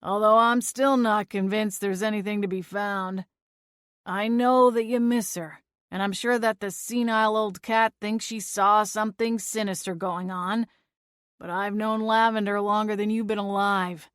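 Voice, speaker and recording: A woman voicing a gruff, dismissive male sheriff in a storybook reading, talking in an exasperated, lecturing way. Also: mid-range voice, steady tone, measured pace, no echo, studio quality, natural voice. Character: gruff dismissive small-town male sheriff, long-winded.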